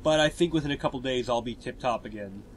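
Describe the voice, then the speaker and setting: A man with a stuffy, cold-blocked nose talks through a game walkthrough in a relaxed, explanatory way, handing out tips as he plays. His voice sits at an ordinary middle pitch. A faint hiss runs under the recording. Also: fast talker, low-quality recording. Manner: relaxed, explanatory, tip-giving. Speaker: gameplay commentator.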